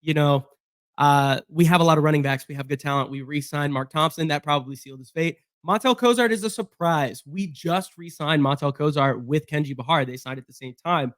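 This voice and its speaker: Hoarse man breaking down a football roster. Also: fairly high pitch, brisk, a bit bright, no room sound, clean studio sound. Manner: chatty, rambling with fillers and restarts. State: hoarse from being sick. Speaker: sports podcast host. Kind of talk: casual solo sports breakdown.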